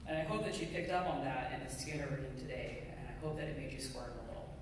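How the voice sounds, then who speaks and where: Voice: mid-range voice, steady tone, quick pace. Echoey sermon from a middle-aged pastor. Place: church sanctuary pulpit, indoors. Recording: very echoey hall, distant mic, faint noise.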